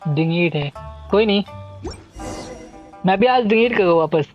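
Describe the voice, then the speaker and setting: A man's voice: sits high, expressive, racing. A man with an Indian accent chatting casually with viewers as a game livestreamer. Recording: dull lo-fi stream audio. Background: game music.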